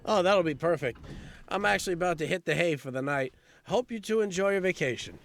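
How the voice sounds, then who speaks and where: A man's voice: sits high, brisk. A man playing a chatty, upbeat, friendly guy in an indie audio drama, eager and conversational.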